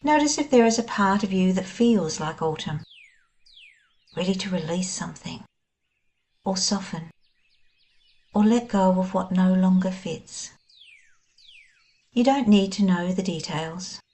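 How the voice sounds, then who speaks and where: A woman guiding a scripted meditation in a gentle, soothing, unhurried manner. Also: lowish voice. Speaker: meditation guide. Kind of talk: scripted guided meditation narration.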